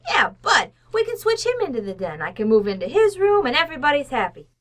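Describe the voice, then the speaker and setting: A woman's voice: sits high, huge pitch swings, fast, fairly bright tone, full character voice. A woman playing a brash working-class housekeeper in an old-time radio comedy, blunt and slangy in her speech. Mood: bossy, impatient.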